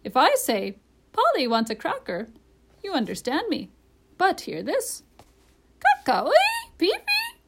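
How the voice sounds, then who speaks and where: Bossy female storybook parrot, played by a woman: high, swooping, proud and emphatic. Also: huge pitch swings, slow, long pauses, fairly bright tone, slight background noise, exaggerated voice. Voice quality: high-voiced. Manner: emphatic, instructive.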